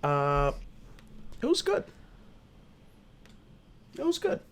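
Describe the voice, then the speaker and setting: Creaky-voiced man in casual conversation. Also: fairly high pitch, huge pitch swings, very slow, slight background noise. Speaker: co-host. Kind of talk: casual chat, film review.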